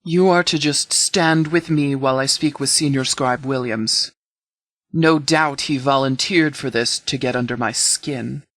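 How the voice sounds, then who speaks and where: A man's voice: mid-range voice, unhurried, fairly bright tone, no echo. A man playing a stern, blunt, battle-weary military leader in a video game, with a firm, clipped, authoritative delivery. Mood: firm, commanding. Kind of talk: video game dialogue acting.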